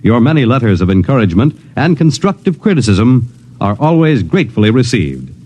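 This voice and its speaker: A man's voice: medium pitch, very animated, darkish tone, breathy edge. Swooping, formal male radio announcer. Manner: measured, dramatic, enunciated. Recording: old radio recording, faint noise.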